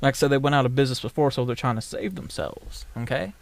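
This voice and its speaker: A man's voice: mid-range voice, quick pace, gravelly, no room sound. A man from the United States ranting in a casual vlog, blunt and profane, rambling with many fillers. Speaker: vlogger.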